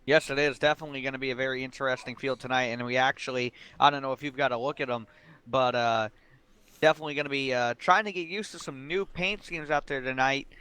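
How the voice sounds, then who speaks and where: Bright, clear-voiced male race commentator. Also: mid-range voice, steady tone, fast talker. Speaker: sim racing commentator. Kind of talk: live sports commentary with casual banter.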